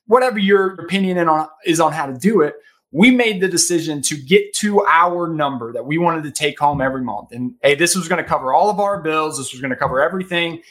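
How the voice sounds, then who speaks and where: A man's voice: fairly high pitch, fairly quick, a bit bright. A man in his thirties, a guest on a podcast, giving earnest financial advice and often checking in with "right?". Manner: earnest, advisory, emphatic with repeated "right?". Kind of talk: casual podcast interview.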